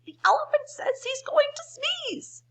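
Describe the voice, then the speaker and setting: A woman's voice: extremely high pitch, expressive, a little slow, vocal fry, a bit bright, voice pushed up, full character voice. A woman voicing a flustered storybook bird, squawky, urgent and sing-song as it pleads. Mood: flustered pleading. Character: shrill, flustered storybook parrot and birds.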